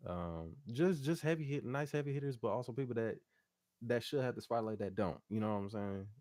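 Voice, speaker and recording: A man's voice: medium pitch, brisk, a little mellow, no room sound, clean studio sound. A man speaking casually and informally as a musician guest in an interview, with slangy, loosely phrased talk. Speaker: interview guest, R&B singer and producer.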